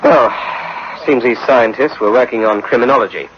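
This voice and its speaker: A man's voice: medium pitch, moderate pace, slight background noise, close to an everyday voice. A man playing a folksy police captain in a radio drama, explaining things in a rambling, colloquial way on a muffled old recording. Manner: hesitant, repetitive, colloquial explaining. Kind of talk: 1960s-style radio drama acting.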